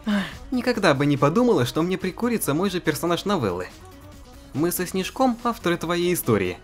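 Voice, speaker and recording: A woman's voice: lowish voice, sing-song, fairly quick. A woman voicing a sarcastic young female co-author with a wry, exasperated manner. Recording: faint background music.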